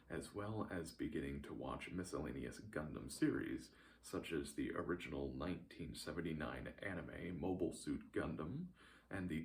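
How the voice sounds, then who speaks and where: A man reading a scripted recap as a narrator, with a dry, deadpan delivery. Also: very deep voice, a little hiss or hum.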